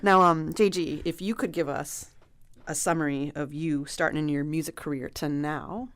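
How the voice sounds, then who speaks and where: A woman's voice: low-pitched, steady tone, moderate pace, slight background noise. A woman hosting a radio talk show, asking guests questions in a friendly, casual way. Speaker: radio host.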